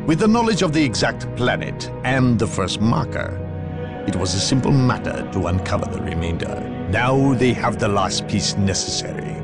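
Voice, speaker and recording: An actor in a video game playing a grave, haughty inquisitor, speaking in a portentous, theatrical way. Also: male voice, mid-range voice, very animated, moderate pace, over loud music. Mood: grave, ominous. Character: grave, haughty inquisitor, a zealous hunter of evil. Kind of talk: dramatic video game cutscene acting.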